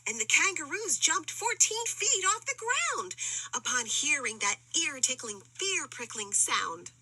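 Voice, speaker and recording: A woman reads a rhyming children's story in a very high, bright voice. She takes her time and uses animated, expressive intonation. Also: a little slow, dry recording, poor audio. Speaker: storybook narrator.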